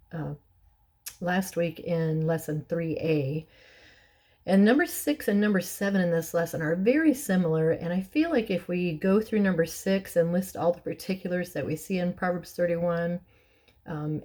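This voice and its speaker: A middle-aged woman with a hoarse, raspy voice, clearing her throat often and chatting with soft laughs as she teaches a Bible lesson. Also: on the low side.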